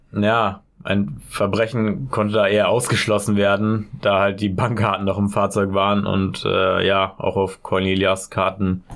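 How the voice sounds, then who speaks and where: Man, lower pitch; relaxed podcast co-host telling the story. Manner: relaxed, laid-back, reads out case details. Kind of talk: casual true-crime podcast storytelling.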